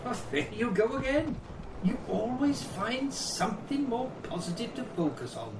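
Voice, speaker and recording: A man's voice: very high-pitched, sing-song, moderate pace, exaggerated voice. A British man playing a weary, sardonic, grumbling trench soldier in a radio play. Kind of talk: dramatic dialogue in an audio play. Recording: roomy, distant, clearly noisy recording.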